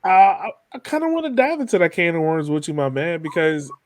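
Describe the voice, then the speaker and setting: A man's voice: fairly high pitch, big pitch swings, a little fast, dry recording. Dry, close-sounding man hosting. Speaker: podcast host. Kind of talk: casual conversational interview.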